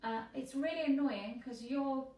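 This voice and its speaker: A woman vicar hosting an interview, chatty and lively, quick to laugh. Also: sits high, echoey room, distant mic. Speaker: vicar and host.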